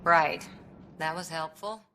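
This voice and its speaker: A woman's voice: sits low, steady tone, slow pace, pushed and bright, slight background noise, full character voice. A woman playing a skeptical, dry crewmate on a space expedition in a game, speaking with a sardonic edge. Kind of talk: naturalistic video game acting.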